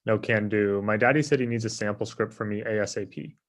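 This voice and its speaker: An actor at a comedy table read playing a lazy, self-absorbed office coworker in a casual, dismissive way. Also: male voice, lowish voice, fairly quick, lightly acted. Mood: breezy, evasive.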